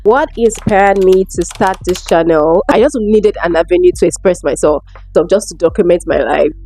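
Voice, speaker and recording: Young woman, Nigerian accent, low pitch, casual vlog chat, informal, emphatic, music behind. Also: moderate pace, mellow. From Lagos, Nigeria. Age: young adult. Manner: chatty, informal, emphatic repetition. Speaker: vlogger answering viewer questions.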